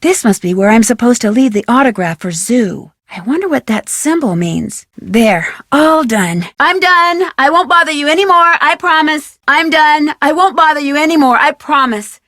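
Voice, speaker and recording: A young American woman playing a plucky, curious amateur detective in a video game, with crisp, expressive, self-narrating delivery. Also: high-pitched, moderate pace, few pauses, no echo, studio quality. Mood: matter-of-fact, then polite, eager. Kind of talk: scripted video game character lines.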